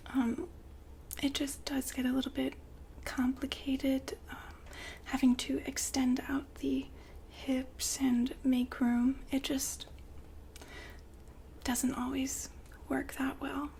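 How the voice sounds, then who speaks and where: A woman whispering softly and politely in an ASMR customer-service roleplay, breathy and gently hesitant. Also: high voice, monotone, slight background noise. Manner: soft-spoken, polite, unhurried, gently hesitant. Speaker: ASMR roleplay performer as a shop consultant.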